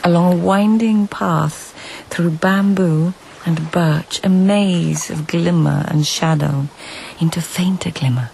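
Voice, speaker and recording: An observant lady of the old Japanese court in a radio drama. Her delivery is slow, lyrical and breathy, with creak at the edges, over faint background ambience. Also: lowish voice, dark tone, a little hiss or hum. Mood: hushed wonder. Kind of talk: radio drama acting and narration.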